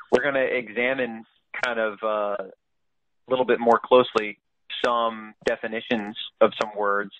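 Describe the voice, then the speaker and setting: A man leading a group conference call, explaining things informally in a halting way, often searching for words. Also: mid-range voice, bright, forceful tone, rough recording. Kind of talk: informal explanatory presentation, partly read aloud.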